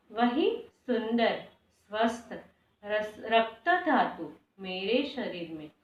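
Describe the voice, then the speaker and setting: Distant, slightly muffled recording, woman, guided meditation, prayerful affirmations, lively rising and falling pitch, deliberate repeated phrases. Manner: slow, deliberate, short phrases with repeated lines. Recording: echoey room, distant mic, dull sound.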